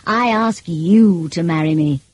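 A woman voicing a commanding gentleman master in an audiobook romance, teasing and intense, with a measured, insistent manner. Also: lowish voice, slightly rough, dry recording. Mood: earnest wooing.

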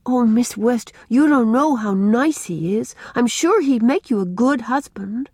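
A woman's voice: a little high, lively intonation, a little slow, darkish tone, breathy edge, no echo, clean studio sound, voice pushed up, full character voice. A woman voicing an earnest, timid young girl who pleads in a breathless, eager way. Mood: earnest pleading. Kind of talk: audiobook character reading.

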